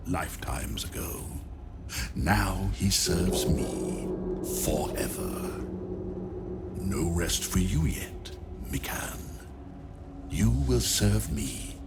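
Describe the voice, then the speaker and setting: A cold, arrogant necromancer drawls his contempt in a low, rasping voice. His pitch swings widely as he gloats. Heavy ambient game noise sits under him. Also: full character voice. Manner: haughty, disdainful, slow and deliberate. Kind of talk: video game cutscene voice acting.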